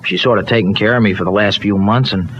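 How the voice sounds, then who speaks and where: A man's voice: on the low side, fairly even intonation, quick pace, a bit bright, close to an everyday voice. An actor playing an eager, streetwise but well-meaning young dairyman, with loose colloquial American speech in an old radio soap. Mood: earnest, uneasy. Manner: colloquial, folksy slang with loose grammar. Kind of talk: old-time radio soap opera acting. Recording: dull, noisy old radio recording.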